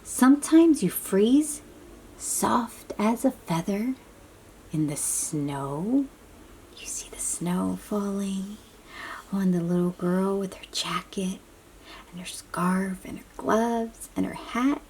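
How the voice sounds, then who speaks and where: A woman with a soft, breathy voice reads a picture book aloud to young children, gently explaining and chatting to them as she goes. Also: medium pitch, lively intonation, slight background noise, poor audio. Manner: slow, child-directed, encouraging questions and affirmations. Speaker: read-aloud storybook host.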